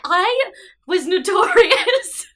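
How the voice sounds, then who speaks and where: A young woman co-hosting a casual podcast, telling animated stories and acting out quotes. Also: extremely high pitch, drawn-out words, a crowd in the background. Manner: animated storyteller, acts out quotes loudly. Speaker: podcast co-host. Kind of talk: casual chat.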